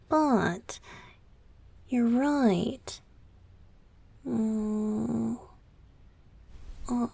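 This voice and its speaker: A woman voicing a tender, playful young male pop idol boyfriend in an intimate ASMR roleplay, soft, breathy and often whispering, with a hesitant, affectionate delivery. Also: on the high side, full character voice. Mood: shy, hesitant. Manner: slow, hesitant, affectionate, coy.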